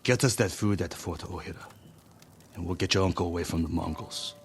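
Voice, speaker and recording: An actor playing a cocky, teasing adult mercenary gang leader in a video game. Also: male voice, deep voice, brisk, creaky. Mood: businesslike. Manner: teasing, boastful. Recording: game audio with ambient noise.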